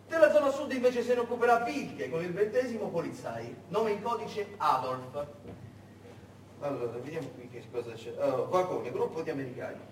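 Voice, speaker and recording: An actor playing a brisk, smugly commanding German major who gives his officers clipped orders and prods them with check questions, as in a stage monologue. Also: high voice. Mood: coolly menacing. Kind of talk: theatrical monologue acting. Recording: echoey room, distant mic, faint noise.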